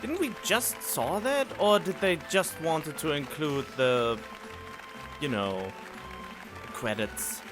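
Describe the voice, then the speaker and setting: A man's voice: on the high side, animated, slow, a crowd in the background. A man giving casual reaction commentary on game cutscenes, puzzled and thinking aloud as he goes. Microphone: studio condenser microphone. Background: music from game cutscenes.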